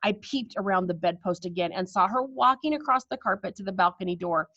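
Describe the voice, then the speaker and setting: A woman reading a children's story aloud with expressive narration, on a slightly dull recording. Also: medium pitch, a little fast, no echo.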